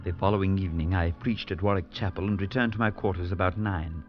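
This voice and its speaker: A man voicing a deep-voiced priest narrating a radio drama. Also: steady tone, lightly acted. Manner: measured narration with rising alarm in dialogue. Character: male priest narrator, earnest, steady, unsettled. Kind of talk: 1940s radio acting. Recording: dull lo-fi vintage radio with music.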